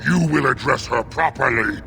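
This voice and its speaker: An actor playing a fierce, loyal werewolf guarding his lady in a video game, with a gravelly, growling and forceful delivery. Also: mid-range voice, moderate pace, faint music behind. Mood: wary, hostile.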